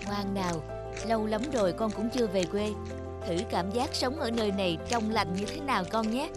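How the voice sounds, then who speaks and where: A woman playing a brisk young city mother in an animated folk tale, talking in a coaxing way. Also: medium pitch, natural voice. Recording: music under the voice.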